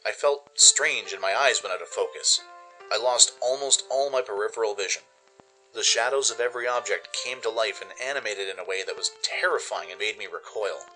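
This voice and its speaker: A man's voice: on the low side, brisk, pushed and bright, soft background music, rough recording. A man giving dramatic first-person scripted narration as the narrator of a radio play.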